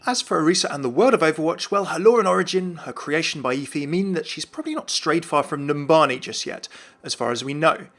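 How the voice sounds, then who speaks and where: Fast-talking man narrating game lore. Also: on the high side, animated, fairly bright tone. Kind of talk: scripted commentary narration. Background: faint music.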